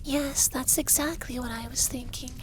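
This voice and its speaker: A man voicing an effeminate young dragon lover in an intimate ASMR roleplay, speaking softly and breathily, teasing and commanding yet doting. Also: very high voice, steady tone, moderate pace, background noise. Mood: teasing, dominant.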